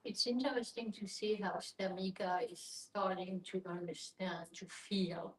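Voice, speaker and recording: A woman's voice: medium pitch, steady tone, measured pace. A woman talking casually as a participant in a group discussion. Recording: echoey room.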